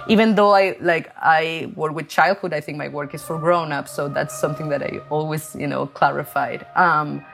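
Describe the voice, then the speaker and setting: Low-voiced Chilean woman, halting speech. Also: steady tone, creaky, a bit bright, faint music behind. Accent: Chilean Spanish. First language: Spanish. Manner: halting, many fillers and restarts. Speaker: artist. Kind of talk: interview monologue about her art.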